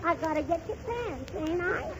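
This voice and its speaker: A woman's voice: extremely high pitch, expressive, normal speed. A woman playing a mischievous, naive little girl who pesters with questions in a 1930s radio comedy. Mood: innocent pestering. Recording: muffled, hissy 1930s radio recording.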